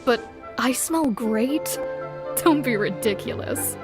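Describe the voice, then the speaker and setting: Proud game adventurer: fairly high voice, dry, self-assured, clear music behind. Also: female voice, animated, takes it slowly. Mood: offended, dry. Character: brisk, proud veteran female adventurer, dryly amused. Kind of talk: video game voice acting.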